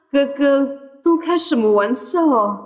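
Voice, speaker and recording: A woman's voice: high-pitched, full character voice. A woman playing a curious, easily frightened young teenage boy in an audio drama. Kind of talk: Mandarin audio drama acting.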